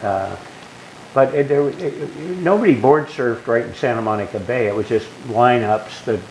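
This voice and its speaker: An older man with a low, growly bass voice, talking casually in a group and rambling through stories with many restarts. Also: normal speed, a little mellow, slight background noise, poor audio. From Southern California. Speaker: guest being asked questions.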